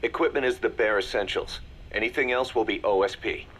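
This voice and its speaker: A man plays a serious army deputy in a video game. His voice is on the low side, and he delivers information in a measured, businesslike way. A faint noise sits behind him. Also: a bit bright, close to an everyday voice. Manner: crisp, businesslike, measured briefing. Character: serious male military second-in-command briefing his boss. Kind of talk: video game voice acting, mission briefing.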